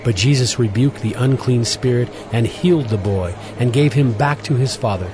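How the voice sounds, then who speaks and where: A man narrating scripture from a script as a measured storyteller. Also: medium pitch, very noisy. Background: music.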